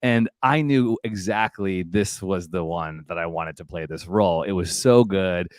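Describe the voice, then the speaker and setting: Low-pitched enthusiastic male host. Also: unhurried, a little mellow, no echo, studio quality. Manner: enthusiastic, informal, self-interrupting. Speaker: live chat host. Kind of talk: casual interview chat.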